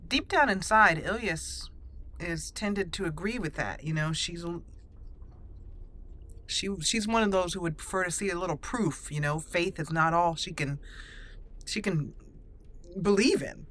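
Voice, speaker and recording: A woman's voice: on the low side, unhurried, pauses often, fairly bright tone, slight background noise. A woman hosting a gaming channel, musing and thinking aloud as she comments on her game.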